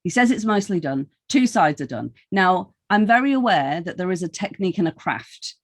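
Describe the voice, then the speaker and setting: A woman's voice: fairly low pitch, expressive, normal speed. Woman interviewer, casual and tentative. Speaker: podcast interviewer.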